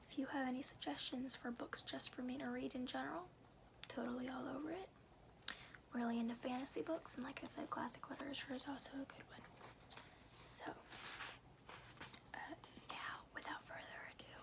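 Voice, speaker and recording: A woman whispers softly and breathily, as in an ASMR bedtime reading. Her voice sits a little high, with a crackle of fry at the edges. She takes her time and keeps it informal. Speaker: ASMR host reading a book aloud. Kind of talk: whispered ASMR, casual rambling chat and storybook reading.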